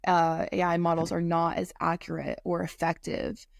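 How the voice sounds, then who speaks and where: A young woman co-hosting a podcast in a casual conversational way, halting often with fillers and restarts. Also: lowish voice, monotone, gravelly, no echo, clean studio sound. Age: young adult. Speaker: podcast co-host.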